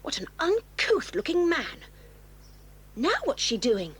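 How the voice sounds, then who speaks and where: A woman's voice: high-pitched, very animated, slow, exaggerated voice. A woman playing a snobbish, sly schoolgirl with a prim, haughty manner in a children's audio drama. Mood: nosy disapproval.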